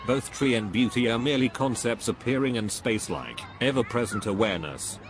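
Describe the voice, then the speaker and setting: A man's voice: mid-range voice, brisk, close to an everyday voice. A man playing a pompous, dogmatic spiritual guru in a scripted cartoon dialogue, preachy and dismissive.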